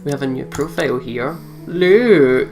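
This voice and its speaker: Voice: mid-range voice, expressive, measured pace, a little mellow. A let's play host chatting casually and easygoing while playing a story game. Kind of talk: casual gaming chat. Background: guitar game music.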